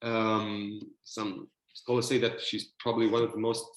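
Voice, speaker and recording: A man's voice: on the low side, little pitch movement, normal speed. Romanian-accented man on an echoey video call. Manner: thoughtful, hesitant, many fillers and restarts. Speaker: panelist. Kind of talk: virtual panel discussion.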